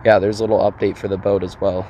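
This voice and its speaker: A young American man with a hoarse, worn-out voice from a cold, chatting casually for a fishing vlog outdoors on a boat. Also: low voice, flat delivery, quick pace, heavy background noise. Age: young adult. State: hoarse from a cold, losing his voice. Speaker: fishing vlogger. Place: outdoors on a small boat on a lake.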